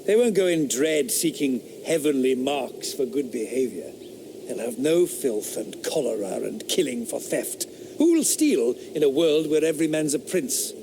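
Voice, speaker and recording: A sneering, lecturing eighteenth-century man of reason in a period radio drama. His voice swings widely in pitch and carries a gravelly edge. It sits over clear ambient background noise. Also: very animated, a little slow. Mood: visionary. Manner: sneering, lecturing, drops to intense whispers. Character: scornful rationalist philosopher man, 1760s.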